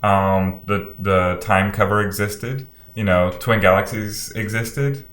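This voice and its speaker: A man's voice: lowish voice, fairly even intonation, slow, fairly bright tone. A man on a podcast panel giving emphatic, opinionated takes in a casual movie chat. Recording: echoey, roomy sound.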